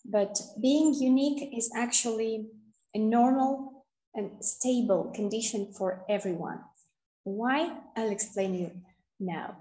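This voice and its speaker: Slow-talking woman from Kazakhstan on a webinar. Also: on the high side, dark tone, echoey room, poor audio. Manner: slow, hesitant, with frequent fillers. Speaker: webinar presenter. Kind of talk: online webinar presentation. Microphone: video call.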